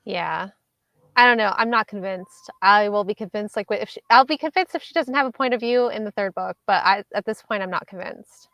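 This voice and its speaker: A young woman co-hosting a casual book discussion, speaking in measured, skeptical replies. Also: medium pitch, creaky, fairly bright tone, no room sound. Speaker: book club co-host.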